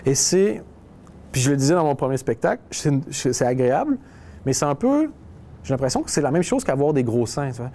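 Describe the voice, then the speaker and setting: A man's voice: mid-range voice, very animated, a little fast, warm tone. A young man, chatting casually and wryly in an interview, often restarting his sentences. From Quebec. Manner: conversational, wry, restarts often.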